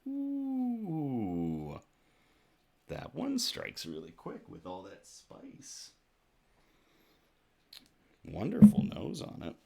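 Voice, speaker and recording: A man hosting a casual livestream, chatting to viewers in a jovial, effusive way, his voice slightly rough from shouting. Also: mid-range voice, wildly swooping pitch, extremely slow, long pauses. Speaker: host of a casual news and beer livestream.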